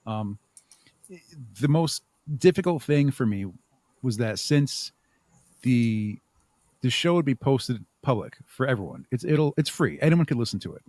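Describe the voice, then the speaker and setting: A man talking casually and thoughtfully as an interview guest, with halting phrasing. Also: mid-range voice, very animated, a little mellow, dry recording. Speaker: podcast guest, audio drama creator.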